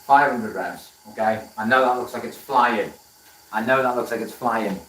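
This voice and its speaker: A man's voice: mid-range voice, normal speed, a bit bright, far from the mic. A man from South Wales chats easily with his viewers as he works, greeting them by name and often trailing off into fillers. His voice comes from a little way off the mic and has a roomy workshop echo around it. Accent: South Welsh. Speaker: woodturning livestream host. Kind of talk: casual live chat while working. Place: workshop with a running lathe.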